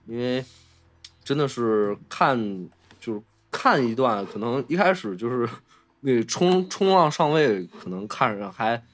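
A man co-hosting a film podcast in casual conversation, thinking aloud in a halting way. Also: medium pitch, animated, faint music behind.

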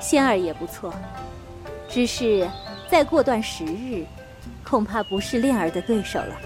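A woman playing a composed, authoritative mature female master in a Chinese period martial arts audio drama, formal and unhurried. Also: medium pitch, lively intonation, over music, close to an everyday voice. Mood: approving, calm.

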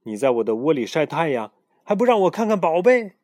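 A loud, nosy bird, as a man puts him on, talking high and eager. He wheedles and exclaims in lively swings of pitch. The sound is close and dry, like a storybook reading. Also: brisk, dry recording. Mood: coaxing.

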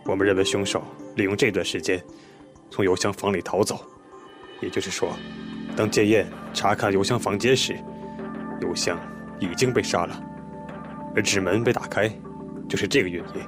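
An actor playing a composed male police detective in an audio drama, explaining things in a measured, methodical, polite way. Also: low voice, steady tone, music in the background, natural voice. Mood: explanatory. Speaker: police investigator questioning a witness.

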